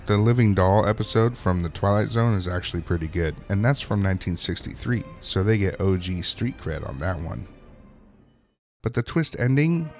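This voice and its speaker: Low-voiced man recapping a TV episode. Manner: wry, opinionated. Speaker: host of a TV episode recap show. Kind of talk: scripted recap and review narration.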